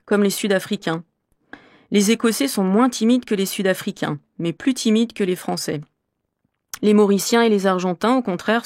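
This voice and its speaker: A woman's voice: mid-range voice, a little mellow, no echo, studio quality, close to an everyday voice. A woman playing a middle-aged French teacher from Normandy, answering interview questions in an articulate, explanatory way for a language course. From Normandy, France. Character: middle-aged female French teacher, curious and well-travelled.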